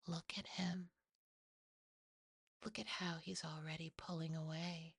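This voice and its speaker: Horror roleplay demon, played by a woman: low, husky, hissing, sneering and possessive. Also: steady tone, measured pace, no room sound, clean studio sound, heavily acted. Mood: cold, vicious. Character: possessive, contemptuous, furious whispering demon.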